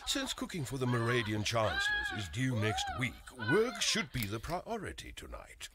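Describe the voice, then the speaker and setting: A man's voice: mid-range voice, huge pitch swings, normal speed. A man playing a vain, pompous celebrity author with a wry, suave manner in a comedic audio drama.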